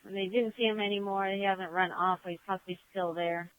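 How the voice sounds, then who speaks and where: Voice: medium pitch, steady tone, brisk, clear, resonant tone, no echo. A police dispatcher relaying reports and instructions to units over the radio. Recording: tinny, narrow-band police radio transmission.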